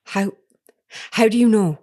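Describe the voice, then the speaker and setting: A woman playing a sharp-witted, persistent investigative reporter in an audio drama, with an articulate, probing manner. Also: medium pitch, unhurried, close to an everyday voice. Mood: confused, concerned. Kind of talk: naturalistic audio drama acting.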